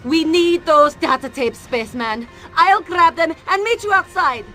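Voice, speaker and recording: A woman's voice: extremely high pitch, animated, unhurried, sharp tone, faint music behind, exaggerated voice. An actress playing a determined female spy in a video game, with an urgent, businesslike manner. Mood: tense, resolute.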